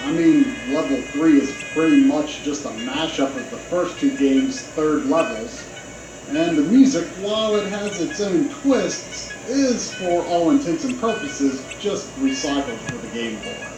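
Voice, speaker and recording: Lively male game reviewer over music. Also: on the high side, unhurried. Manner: conversational, opinionated. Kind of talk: scripted review narration with casual asides. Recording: roomy, played back with game music behind.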